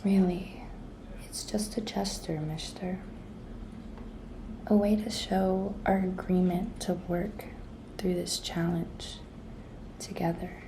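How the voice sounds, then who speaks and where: Slow, whispery, flirty office intern played by a woman. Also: lowish voice, steady tone, noisy background. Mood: flirtatious, suggestive. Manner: breathy, coy, slow and deliberate, often dropping to a whisper. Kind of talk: whispered ASMR roleplay.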